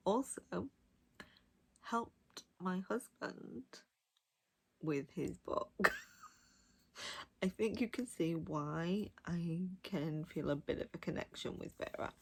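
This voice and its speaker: A woman's voice: low voice, gravelly, slightly rough. A woman giving a casual, chatty book review with gushing, emphatic enthusiasm. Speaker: audiobook reviewer.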